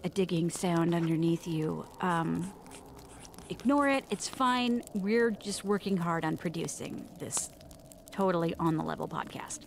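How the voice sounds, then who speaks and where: A woman playing a fussy, chatty castaway in a comedic audio drama, expressive, breezy and rambling. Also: lowish voice, a little slow, vocal fry, a little hiss or hum. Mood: chatty, confiding. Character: fussy, chatty woman stranded in another world. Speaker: podcast narrator character.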